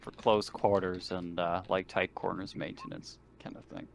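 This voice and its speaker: Low gravelly guy, casual chat during a tabletop game. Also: flat delivery, normal speed, slight background noise. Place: online voice call.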